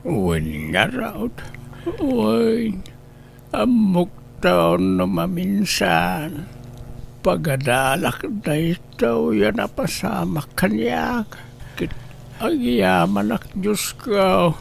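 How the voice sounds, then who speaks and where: A man's voice: high-pitched, very animated, very slow, gravelly, slight background noise, exaggerated voice. A man with an Ilocano accent playing a plaintive old village father in an amateur comedy drama. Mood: shaken, grateful. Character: old village father, a shaken pedicab driver.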